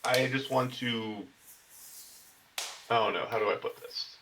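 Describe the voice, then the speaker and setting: A man discussing game strategy casually in a measured, explanatory way, as a player seeking advice over a voice call. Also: medium pitch, background noise. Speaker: card game player getting deck advice. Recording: dull, distant voice-call microphone.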